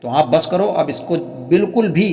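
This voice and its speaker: A man's voice: on the high side, expressive, moderate pace, a little mellow. Man in heavy reverb, emphatic. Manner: pleading, repetitive, emphatic. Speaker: religious commentator and channel host. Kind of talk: earnest spoken commentary to viewers. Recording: long reverberant echo on the voice.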